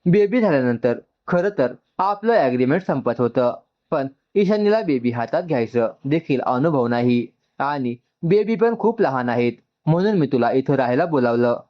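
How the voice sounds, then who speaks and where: A man voicing a composed, businesslike middle-aged mother in a story, with measured, matter-of-fact delivery. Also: medium pitch, animated, highs cut off, close to an everyday voice. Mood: composed, firm.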